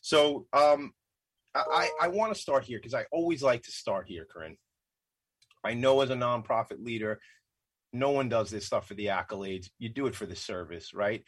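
A middle-aged man hosting a radio interview show, talking quickly in a rambling, self-interrupting way and repeating words. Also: medium pitch, husky. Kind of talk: casual interview chat.

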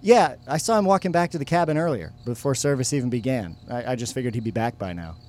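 A man's voice: medium pitch, lively intonation, quick pace, darkish tone, slight background noise, lightly acted. A man playing an easygoing young camp guy in casual audio drama dialogue. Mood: casual, reassuring.

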